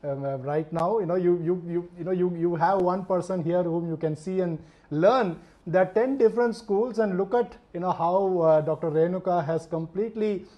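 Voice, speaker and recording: A man's voice: sits high, normal speed, muffled recording. A man from India hosting an interview, talking hesitantly with many fillers and repeated words. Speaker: host. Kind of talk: interview conversation.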